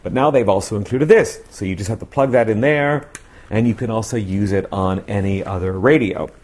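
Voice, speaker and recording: A man's voice: lowish voice, brisk, darkish tone. A middle-aged man giving a casual, emphatic product review to camera, repeating phrases for stress, on a lapel mic in a living room. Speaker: radio reviewer. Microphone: clip-on lapel mic.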